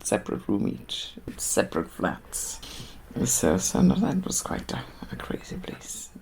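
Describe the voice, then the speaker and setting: A woman's voice: very deep voice, big pitch swings, measured pace, creaky, a little mellow, in a whisper. Older Austrian woman reminiscing. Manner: hesitant, many fillers and restarts. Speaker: interviewee. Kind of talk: reminiscing interview.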